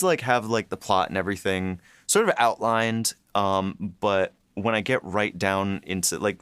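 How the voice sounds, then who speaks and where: A man's voice: sits low, a bit bright, smooth, dry recording. A man co-hosting a podcast, chatting casually about writing in a hesitant, rambling way, often repeating words as he thinks. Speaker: writer and co-host of a behind-the-scenes podcast. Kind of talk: casual chat, reflecting on writing.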